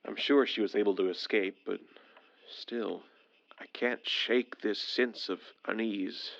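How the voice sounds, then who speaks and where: A man's voice: fairly low pitch, steady tone, a little slow, creaky, a bit bright, dull audio. A man playing an anxious, frustrated survivor of an alien invasion, formal and earnest, in an audio drama. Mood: uneasy, wry. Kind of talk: dramatic audio drama acting with journal narration.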